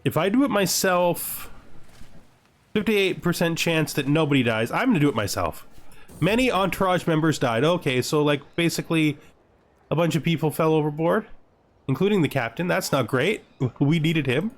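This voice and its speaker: A man's voice: a little high, lively intonation, normal speed. A man giving casual gameplay commentary, reading game text aloud and reacting with dry, offhand humour. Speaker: gaming video host.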